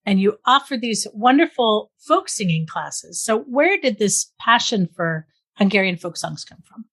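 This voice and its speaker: A woman's voice: medium pitch, animated, a little slow, fairly bright tone. A woman hosting a podcast, reading a friendly intro and then interviewing a guest. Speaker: podcast host.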